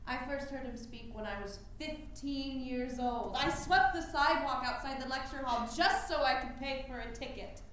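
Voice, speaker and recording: An actress playing a pragmatic, independent woman orator in a staged historical drama, speaking in a firm, measured theatrical way. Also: sits high, fairly bright tone. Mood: breezy, admiring. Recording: very echoey room with faint noise.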